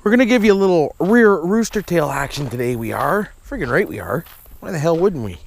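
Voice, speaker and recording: A man's voice: on the high side, very animated, brisk, creaky. A man with a rural Ontario Canadian accent vlogging casually outdoors, with folksy, repetitive slangy tag questions. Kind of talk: casual vlog chat to camera. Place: outdoors at a campground, trail and lake. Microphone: handheld action camera. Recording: windy, noisy outdoor camera audio.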